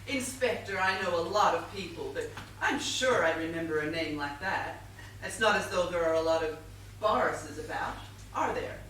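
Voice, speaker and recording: A woman's voice: fairly low pitch, animated, moderate pace, a bit bright. Flustered, theatrical lady suspect, female stage actor, airy and evasive, distant from the mic with faint noise. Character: flustered, evasive, affected married woman murder suspect. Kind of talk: stage comedy murder mystery acting. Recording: roomy distant stage recording, noisy.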